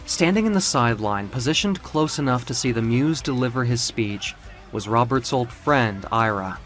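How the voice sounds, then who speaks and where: A man's voice: medium pitch, animated, moderate pace, a bit bright, soft background music. A man narrating a scripted story in a theatrical, suspenseful way.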